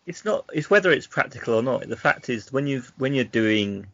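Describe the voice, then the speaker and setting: A man's voice: medium pitch, lively intonation, moderate pace, fairly bright tone, no room sound, lo-fi sound. A man co-hosting a podcast, explaining his thoughts reflectively in casual discussion. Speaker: podcast co-host.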